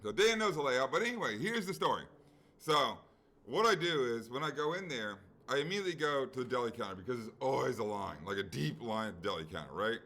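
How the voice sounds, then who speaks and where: This is a middle-aged man chatting on a podcast, winding through a long anecdote. His voice has a bright, tense edge, and he jumps into the voices of the people he quotes. He swears freely and keeps checking in with "right?". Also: mid-range voice, expressive, quick pace. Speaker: podcast co-host. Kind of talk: casual chat, long anecdote.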